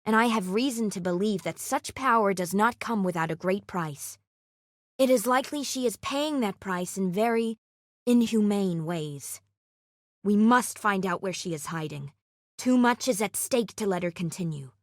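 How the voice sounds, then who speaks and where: A solemn female guardian of a fantasy game world, played by an actress. She speaks in a measured, formal and secretive manner at a medium pitch. The sound is dry and close, with no room around it. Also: expressive, no room sound, close to an everyday voice. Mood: grave. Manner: measured, formal, grave delivery without casual contractions. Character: solemn, secretive female guardian hunting an immortal crime syndicate. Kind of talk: scripted video game character acting.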